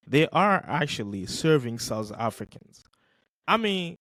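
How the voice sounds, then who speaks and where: A man's voice: mid-range voice, no room sound. A man hosting a podcast, giving casual commentary on video clips, for whom English is not his first language, emphatic and repeating points for stress. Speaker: podcast host reacting to clips.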